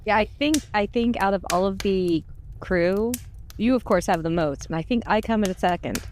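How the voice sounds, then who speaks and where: A woman's voice: medium pitch, normal speed, a little mellow, slight background noise. A woman chatting casually and telling personal stories in a candid, self-deprecating way. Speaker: podcast voice actor. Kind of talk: casual chat, personal storytelling.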